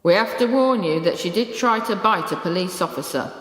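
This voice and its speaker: An echoey recording with long reverb of a stern, careful British guard at a dog pound, read by a woman. The storybook character speaks at a middle pitch. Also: animated, fairly quick, a bit bright, natural voice. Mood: cautioning.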